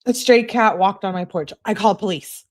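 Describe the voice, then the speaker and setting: A woman's voice: medium pitch, normal speed, slight background noise. A woman giving casual, sarcastic live commentary as she reacts to a video. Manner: sarcastic, informal. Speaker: livestream commentator.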